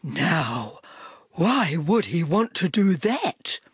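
A woman voicing an excitable, impatient male pet dog in a children's storybook, eager and exclamatory. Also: lowish voice, extremely slow, husky, no room sound, clean studio sound, exaggerated voice. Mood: grumbling, puzzled. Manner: eager, exclamatory, repeats words.

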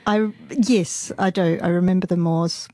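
A middle-aged woman born in England and raised in New Zealand, reminiscing casually and haltingly as a radio interview guest. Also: on the low side, steady tone, warm tone, dry recording, clean studio sound. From born in England, grew up in New Zealand. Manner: hesitant, halting, reflective.

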